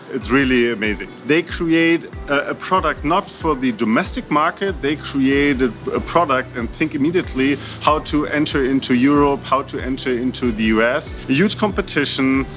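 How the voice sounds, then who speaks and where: A man's voice: medium pitch, moderate pace, few pauses, a bit bright. Enthusiastic male investor with faint music. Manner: enthusiastic, hesitant with fillers and restarts. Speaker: venture capital partner. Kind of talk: interview remarks.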